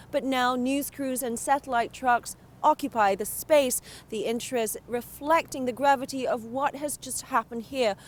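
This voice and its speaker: A woman news correspondent reporting from city streets in a measured, formal broadcast delivery. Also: fairly high pitch.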